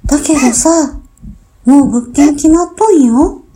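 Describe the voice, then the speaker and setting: A woman's voice: high voice, measured pace, noisy background. A woman playing an earnest, desperate young woman in a radio drama, with a western Japanese Chugoku dialect. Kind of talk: amateur radio drama acting. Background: music.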